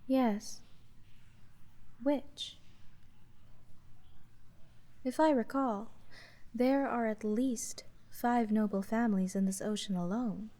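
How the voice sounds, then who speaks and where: An aloof sea witch, played by a woman, speaks in a fairly high but mellow voice. She takes her time over every phrase, dry and deadpan, with a skeptical edge. The delivery is soft, monotone fantasy roleplay ASMR. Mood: coolly businesslike. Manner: monotone, unhurried, dry, measured.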